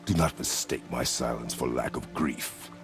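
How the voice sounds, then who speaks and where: An actor playing a gruff, guarded warrior father in a video game, speaking in terse, formal, commanding phrases. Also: moderate pace, fairly bright tone. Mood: somber, restrained. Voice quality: very deep, gravelly. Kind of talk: video game cinematic acting. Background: music.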